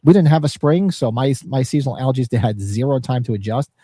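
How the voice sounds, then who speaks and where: A man's voice: medium pitch, quick pace, warm tone, breathy edge, dry recording. A congested man with a nasal, sniffly voice hosting a livestream podcast in casual, informal banter. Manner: informal, occasional swearing. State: congested and sniffly from allergies, slightly sore throat. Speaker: podcast host.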